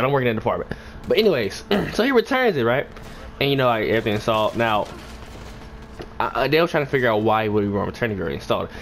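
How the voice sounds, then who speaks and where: A young man telling a casual, rambling story for a gaming video, swearing often in slangy speech and getting emphatic when indignant. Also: mid-range voice. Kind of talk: casual storytime over gameplay. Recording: clear background noise and ambience.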